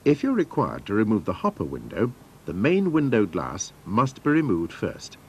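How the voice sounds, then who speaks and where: A man's voice: fairly low pitch, huge pitch swings, moderate pace, a little hiss or hum. A man narrating scripted instructions for a training film in a formal, methodical manner.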